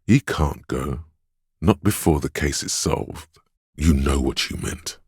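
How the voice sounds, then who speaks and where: An actor playing a stern, steadfast inner voice of willpower in a video game, giving measured, solemn counsel and urging composure. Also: male voice, extremely low pitch, darkish tone, husky, dry recording, clean studio sound, exaggerated voice. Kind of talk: dramatic video game voice acting.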